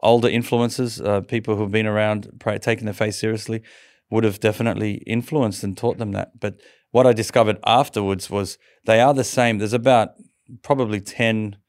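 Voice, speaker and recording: Australian man, low voice, creaky, conversational storytelling, interview guest, dry recording. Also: fairly even intonation, fairly quick, a little mellow, no echo. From Sydney, Australia.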